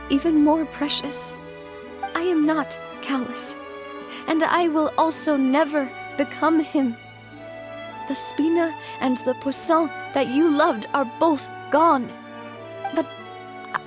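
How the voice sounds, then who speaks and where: An actress playing a kind, resolute young woman who leads an organization, earnest and measured, in a dramatic video game. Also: high voice. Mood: solemn, resolute.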